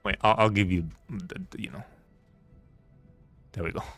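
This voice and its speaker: A man's voice: deep voice, steady tone, moderate pace, fairly bright tone, no echo. A man streaming a video game with casual commentary, thinking aloud hesitantly and repeating words as he goes. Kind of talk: casual rambling gameplay commentary. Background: faint game music.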